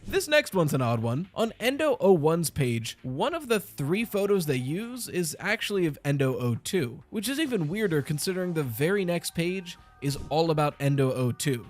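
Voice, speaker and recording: A man hosting a casual commentary on video game lore, chatty and nitpicky as he picks apart details. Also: a little high.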